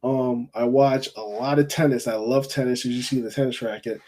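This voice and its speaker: A man from Jamaica hosts a casual football call-in show. His voice is mellow and dark, at a middle pitch. He rambles in a friendly way, repeats phrases and keeps adding "you know.". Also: fairly even intonation, a little fast. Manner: chatty, rambling, repeats himself, says you know often. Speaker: fan call-in show host.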